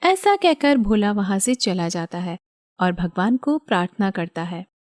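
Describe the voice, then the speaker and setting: A woman narrating a scripted animated story as the storyteller. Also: mid-range voice, measured pace, no echo, studio quality.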